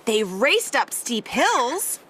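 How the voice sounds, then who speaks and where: A woman reading a children's picture book aloud in an animated, theatrical manner with dramatic emphasis. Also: fairly high pitch, takes it slowly, slight background noise. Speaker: storybook reader.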